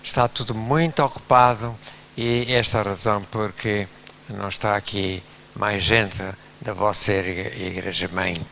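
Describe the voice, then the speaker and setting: Male pastor, low and bright voice, slow exhorting delivery, faint hum behind. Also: steady tone, gravelly. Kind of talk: church address.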